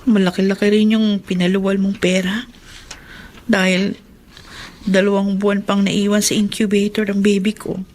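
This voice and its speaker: A woman's voice: medium pitch, little pitch movement, moderate pace, long pauses, a little mellow, natural voice. An actress playing a proud, emotional young wife and mother in a Filipino radio drama, with a Filipino accent. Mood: grateful, tender.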